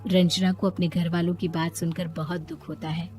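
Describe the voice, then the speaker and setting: A woman narrating a storybook cartoon and voicing its characters in a lively, teasing way. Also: on the low side, moderate pace. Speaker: narrator and voice of the daughter-in-law. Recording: background music under the voice.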